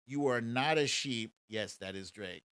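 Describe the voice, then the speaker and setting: A man's voice: medium pitch, measured pace, a bit bright, clear, resonant tone, no room sound. A man hosting a casual political commentary livestream in a sarcastic, mocking and profane manner. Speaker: show host.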